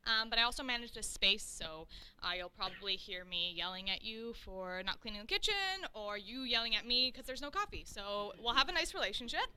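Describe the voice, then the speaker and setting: Woman from Iran, co-working space manager, lively pitch, bright, joking. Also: a little fast, slight background noise. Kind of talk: casual staff introduction.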